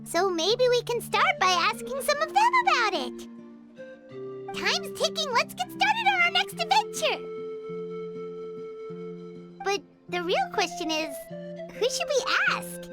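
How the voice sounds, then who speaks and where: A woman voicing a tiny, excitable, childlike female fairy companion in a video game, squeaky and eager. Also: measured pace, long pauses, exaggerated voice. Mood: eager, curious. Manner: speaks of self in third person, eager. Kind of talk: video game character acting. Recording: game music under the voice.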